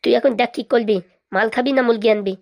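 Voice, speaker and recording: A woman's voice: fairly high pitch, quick pace, gravelly, no room sound. A woman voicing a bossy little cartoon boy who leads a gang and bullies others with a childish lisp. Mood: bossy, threatening. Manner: bossy, threatening, scolding. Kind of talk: comic cartoon dialogue.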